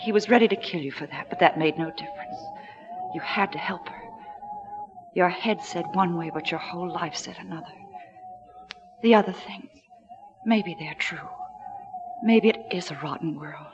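An actress playing a sympathetic, idealistic young woman in a 1940s radio drama, speaking in a hushed, earnest way. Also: lowish voice, normal speed, pauses often, lightly acted. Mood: earnest, urging. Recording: dull, noisy 1940s radio recording.

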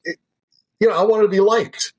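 Older man, husky voice, chatty, folksy storyteller, interview guest. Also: sits high, steady tone, moderate pace. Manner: chatty, folksy, checks in with "right?". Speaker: guest, former radio broadcaster. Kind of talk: conversational storytelling. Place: local to the show's town.

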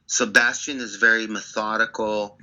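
A man with a low voice and a very bright, forceful edge, chatting as an interview guest. He talks in animated, insistent bursts. The sound is slightly dull and muffled. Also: normal speed. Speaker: record producer guest. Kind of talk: casual interview storytelling.

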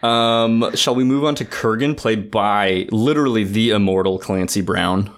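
A man's voice: lowish voice, moderate pace. A man co-hosting a casual film discussion, earnest and thinking aloud as he goes. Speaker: podcast co-host.

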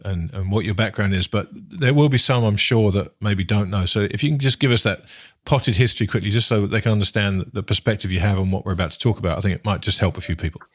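A male podcast host putting a question to his guest in a conversational way, often repeating words as he frames it. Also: on the low side. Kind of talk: podcast interview.

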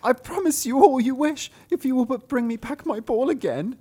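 A man voicing a spoiled young princess in a falsetto, high and loud, pleading and eager. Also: lively intonation, moderate pace, husky, exaggerated voice.

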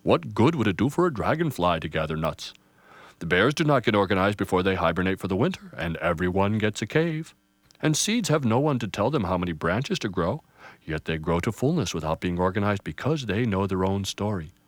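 A man voicing a wise old male pine elder, slow, solemn and booming. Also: very animated, dry recording.

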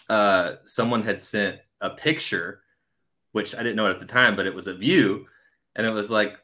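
Bright-voiced man in his thirties hosting. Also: lowish voice. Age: 30s. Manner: enthusiastic, slangy, rambling. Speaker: podcast host. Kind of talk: casual chat.

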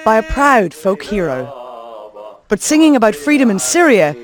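A man narrating a news documentary segment in a measured, earnest scripted style. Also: sits high, wildly swooping pitch. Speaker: news reporter. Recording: music bed under voice. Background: music.

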